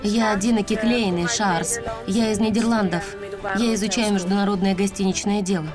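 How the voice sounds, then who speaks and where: A woman reads a voice-over translation in a clear, plain way. Her voice sits at an ordinary middle pitch for a woman. Music plays clearly behind her. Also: fairly even intonation, moderate pace. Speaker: voice-over interpreter. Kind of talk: dubbed self-introductions, read evenly. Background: background music.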